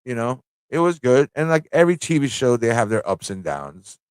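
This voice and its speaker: A man's voice: medium pitch, sing-song, normal speed, clean tone, no echo. A man hosting a livestream fan chat, talking in a rambling, informal way with frequent rhetorical tag questions. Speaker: livestream talk show host.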